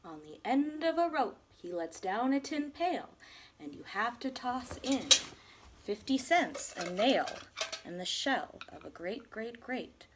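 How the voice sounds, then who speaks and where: Brief: woman, teacher reading aloud, unhurried, very animated intonation, storybook style. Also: a little high, noisy background. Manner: slow, expressive rhyming storytelling.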